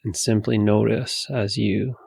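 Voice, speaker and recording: A man leading a guided meditation in a soothing, hushed manner, giving gentle instructions with long pauses. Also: on the low side, little pitch movement, gravelly, husky, no echo.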